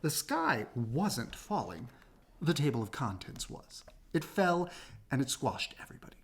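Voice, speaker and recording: A woman reading a children's book aloud as an expressive storyteller. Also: extremely low pitch, measured pace, husky.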